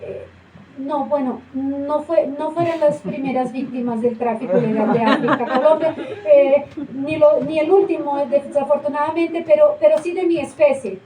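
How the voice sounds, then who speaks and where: A woman playing a widowed female hippo who is a grieving young mother and speaks haltingly with many fillers, acted live on a theater stage. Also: sits high, steady tone, a crowd in the background, natural voice. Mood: hesitant. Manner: hesitant, halting, full of fillers. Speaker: interviewee on a radio show. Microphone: far camera. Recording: roomy stage from far off.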